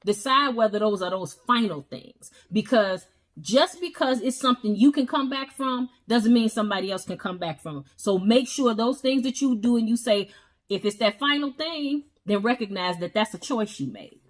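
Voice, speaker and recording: A woman co-hosting a casual talk show, earnestly giving relationship advice in a relaxed style. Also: a little high, moderate pace. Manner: earnest, advising, emphatic. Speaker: co-host. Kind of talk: casual chat about relationships.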